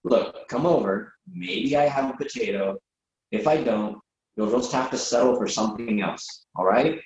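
A man's voice: medium pitch, very animated, moderate pace, darkish tone. A man reading the part of a reasonable, exasperated friend in a late-night comic dialogue, hesitant and stammering in disbelief. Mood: resigned. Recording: roomy, dull lo-fi sound.

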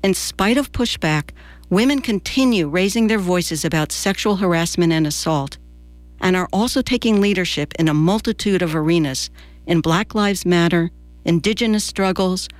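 An American woman in her sixties reads a scripted personal essay for radio in a measured, deliberate storytelling manner. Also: lowish voice, no echo. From New Jersey, United States. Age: 60s. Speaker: radio commentator and presenter.

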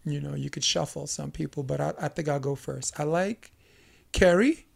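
Give me a joking young man hosting a podcast, gravelly and slightly high. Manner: jokey, rambling, with quick asides and laughs. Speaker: podcast host. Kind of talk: casual podcast chat.

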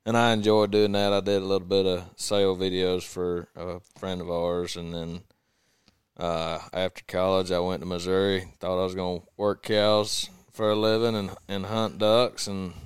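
A man's voice: sits low, little pitch movement, normal speed, clear, resonant tone, no room sound, clean studio sound. A young man in his twenties with a Southern US accent, telling his story as a podcast guest. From American South. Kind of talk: casual podcast chat.

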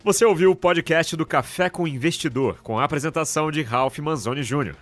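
A man announcing a scripted podcast outro in a clear, formal way. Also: on the high side, a little fast, a bit bright, faint music behind.

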